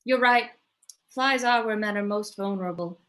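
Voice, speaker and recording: A woman playing a cool, sardonic, much-married widow in a comedy table read, dry and deliberate. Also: mid-range voice, a bit bright, natural voice. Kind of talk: live table read of comedy film script.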